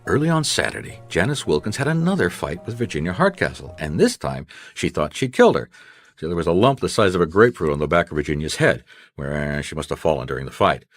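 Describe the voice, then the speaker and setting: Radio mystery lead: a resourceful, wry detective, deep and animated, colloquial and hard-boiled. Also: male voice, quick pace, soft background music. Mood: intent narrating. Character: wry, resourceful male private detective narrating his case. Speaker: lead actor and narrator. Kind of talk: noir radio drama acting and first-person narration.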